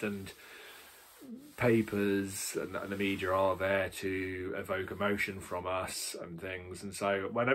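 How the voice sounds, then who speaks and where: A man's voice: low voice, fairly bright tone, slightly rough. A British man giving a casual, opinionated book review in a rambling, emphatic and blunt way.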